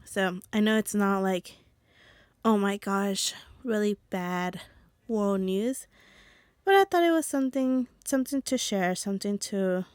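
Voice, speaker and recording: A young adult woman hosting a casual podcast alone, talking in a chatty, rambling way with a stuffy, slightly rough, nasal voice from allergies. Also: medium pitch, a little slow, darkish tone, no room sound, studio quality. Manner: chatty, rambling with fillers and restarts. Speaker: podcast host. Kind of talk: casual solo podcast chat.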